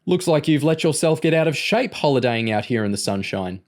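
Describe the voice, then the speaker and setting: A man's voice: normal speed, darkish tone, close to an everyday voice. Guy doing a big mean instructor in an audiobook, bawling, sarcastic, taunting everyone. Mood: bawling, sneering. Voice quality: booming, bawling. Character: huge, bullying male training instructor, loud and mocking. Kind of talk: audiobook character voice.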